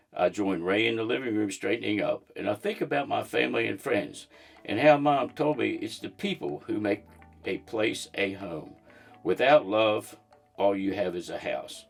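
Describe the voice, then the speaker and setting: Low, gravelly man reading a storybook. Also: expressive, moderate pace, soft background music. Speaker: guest reader reading a children's book aloud. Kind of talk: storybook read-aloud narration.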